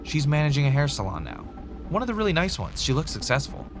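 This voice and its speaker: An actor playing a bitter, self-justifying former reality show winner turned podcaster, delivering a reflective confessional monologue with dramatic pauses. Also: male voice, fairly high pitch, wildly swooping pitch, over music. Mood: bitter, resolute. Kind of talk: confessional first-person scripted audio drama monologue.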